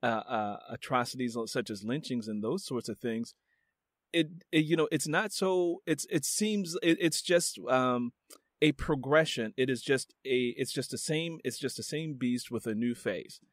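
A man's voice: mid-range voice, unhurried, no room sound, studio quality. A man hosting a podcast interview, musing reflectively and leaning on "you know" as he talks. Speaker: podcast host. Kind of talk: casual interview talk.

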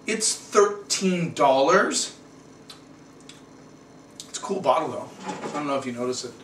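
A man's voice: fairly high pitch, animated, a little slow, a bit bright. A middle-aged American man hosting a casual wine review, chatting to camera with rambling asides in a small indoor room. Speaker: wine reviewer and show host. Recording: roomy, distant mic, slight noise.

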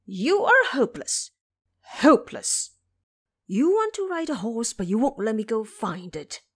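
Woman voicing a brash, hot-tempered monkey disciple, high-pitched. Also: sing-song, a little slow, husky, exaggerated voice. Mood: exasperated, angry. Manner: brash, impatient, ranting. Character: hot-tempered, boastful male monkey disciple with magic powers.

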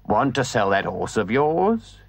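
A terse, gruff male horse trader in a storybook, his voice low and rough with dialect, as a man puts him on. It sounds husky and dry, close to the mic. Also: very animated, measured pace, no echo, full character voice.

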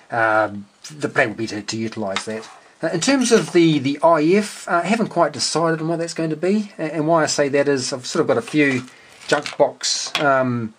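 A middle-aged man talks through his hobby at a workbench in a small room. He is casual and understated, often pausing to think aloud, with a medium-pitched voice picked up a short distance from the camera. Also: a little hiss or hum. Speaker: amateur radio hobbyist and video host. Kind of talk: casual unscripted workbench explanation. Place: small indoor room at a cluttered desk workbench.

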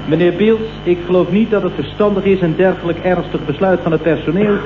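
An actor playing an earnest, deferential employee with a Dutch accent, speaking formally in 1970s radio comedy style. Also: male voice, high voice, steady tone, brisk, close to an everyday voice. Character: earnest, stiffly formal male employee delegate. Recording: tinny, echoey, noisy old radio recording.

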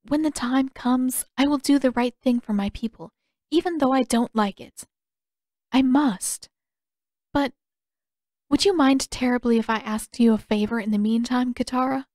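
A woman voicing a polite, dutiful young noblewoman with formal, measured phrasing in an audiobook story. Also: sits high, darkish tone, no room sound, studio quality, voice pushed up, close to an everyday voice. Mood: dutiful, restrained.

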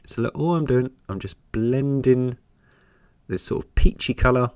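A man with a cold, croaky and deep, chatting through a step-by-step painting lesson with an encouraging manner. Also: no echo, studio quality. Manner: chatty, encouraging, thinks aloud while painting. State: hoarse with a cold. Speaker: painting teacher.

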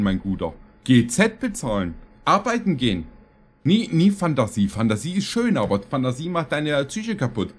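A man in his thirties hosting a reaction video, commenting casually and jokingly in a halting, deadpan way. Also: mid-range voice. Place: small indoor streaming room.

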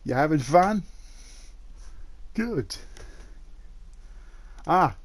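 A man playing a male dragon in human form, an eager, possessive suitor talking softly and haltingly to the listener in a roleplay audio drama. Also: a little high, big pitch swings, pitched above the performer's own voice, heavily acted. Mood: eager, fond.

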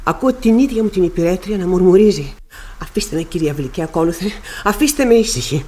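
A middle-aged widow in a stage-like radio drama. Her voice moves widely in pitch. She is proud and anguished, and she speaks with formal, dramatic intensity. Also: expressive, mellow, husky. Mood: anguished, intense. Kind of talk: Greek radio theatre acting.

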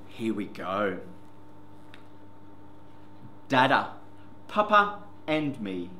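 A man hosts a children's story time with a bright, clear-cut delivery and very lively, sing-song pitch. He takes his time over the simple words for small listeners. The room adds an echo, with faint noise behind him. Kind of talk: picture book read-aloud for young children. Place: indoor classroom reading corner.

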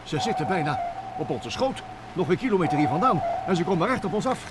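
A man's voice: sits high, sing-song, fast talker, heavy background noise. An actor in a vintage radio play, playing a nervous, stammering male professor who pilots a small submarine. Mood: anxious. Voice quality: stammering, hesitant.

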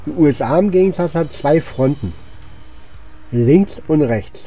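A man's voice: a little high, lively intonation, measured pace, dark tone. A man gives casual, rambling video game commentary, thinking aloud as he plays. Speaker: gaming channel host. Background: game music and sound effects.

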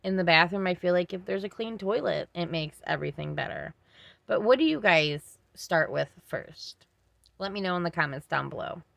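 A woman's voice: fairly low pitch, animated, normal speed, creaky, a bit bright. A young woman chatting casually to her viewers as a home vlogger. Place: small rooms of a mobile home, indoors.

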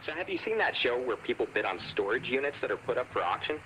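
A man's voice: medium pitch, very animated, fast, pushed and bright, slight background noise, poor audio. A man playing a chatty, cheerful bargain hunter calling a radio show in a video game. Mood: chatty, pleased. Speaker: radio caller.

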